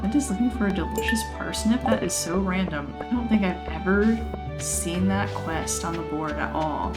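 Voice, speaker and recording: A chatty woman, somewhat hoarse from losing her voice, rambling through casual gameplay commentary with frequent laughs. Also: on the low side, normal speed. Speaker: let's play host. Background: game music.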